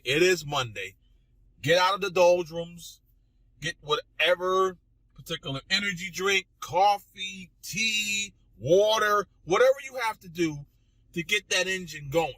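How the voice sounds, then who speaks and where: Animated high-pitched man delivering political commentary. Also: normal speed, no room sound, rough recording. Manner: emphatic and indignant, with repetitions and rhetorical questions. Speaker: political talk show host. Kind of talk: opinionated political commentary monologue addressed to listeners.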